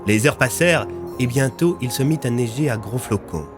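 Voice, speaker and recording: A man's voice: mid-range voice, expressive, normal speed, music in the background. A man narrating a scripted audio fiction as its storyteller.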